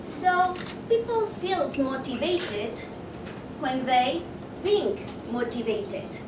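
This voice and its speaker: A woman from Ecuador, presenting a motivational workshop and asking her audience questions. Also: high-pitched. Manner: slow and deliberate, asks the audience questions. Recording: echoey room, mic far off, noisy.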